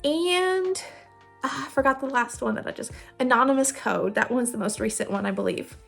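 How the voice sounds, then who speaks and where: A woman with a fairly high voice chats informally as a video host making recommendations. Her delivery is giggly and rambling, with a self-deprecating touch. Soft music plays behind her. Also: normal speed, vocal fry. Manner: giggly, laughs often while talking. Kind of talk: casual chatty recommendation vlog. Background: music playing under the voice.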